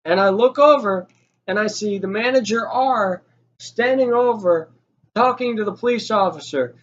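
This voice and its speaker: A man's voice: high-pitched, normal speed. A young man telling a casual story in a rambling, informal way with some swearing. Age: young adult. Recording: dull lo-fi audio with noticeable noise.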